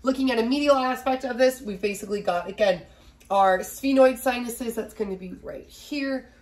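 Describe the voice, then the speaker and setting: A woman's voice: a little high, moderate pace. A woman in her thirties giving a casual recorded biology lecture from a small home office, a little nasal from a cold, often asking "right?". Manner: chatty, asks "right?" often, fillers. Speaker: biology instructor.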